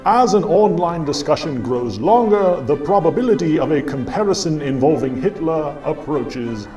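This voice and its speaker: A man's voice: a little high, animated, normal speed, darkish tone, close to an everyday voice. A man voicing an announcer who intones a scripted mock definition in a puppet show. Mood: solemn, deliberate. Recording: distant voice, music behind.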